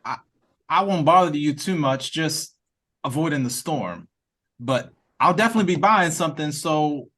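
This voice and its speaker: A man's voice: on the high side, lively intonation, normal speed, sharp tone. A man with an American accent playing a polite, unassuming late-night customer in an audio play read-through. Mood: apologetic. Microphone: video call.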